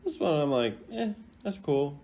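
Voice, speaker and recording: Animated man giving casual, rambling commentary. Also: fairly high pitch, normal speed. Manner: rambling, thinking aloud, informal. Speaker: video game content creator ranking voice lines.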